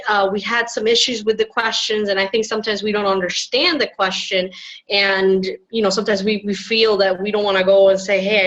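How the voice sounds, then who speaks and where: A woman's voice: medium pitch, a bit bright, dull audio. A woman from Puerto Rico sharing her experience in a workshop discussion, frank and wry. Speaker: workshop participant. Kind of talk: casual group discussion.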